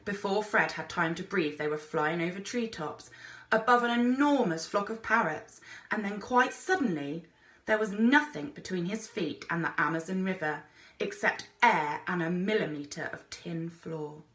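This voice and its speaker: A woman reading a children's adventure story aloud as an expressive narrator, like a teacher reading to a class. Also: on the low side, moderate pace. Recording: echoey room.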